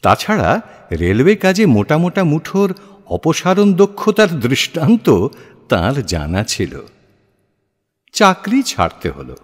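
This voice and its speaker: Slow, highly expressive male storyteller, reverberant. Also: slightly rough. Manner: measured, expressive, deliberate storytelling with pauses. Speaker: narrator of an audio story. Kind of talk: dramatized literary narration in an audio play. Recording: long hall-like reverb.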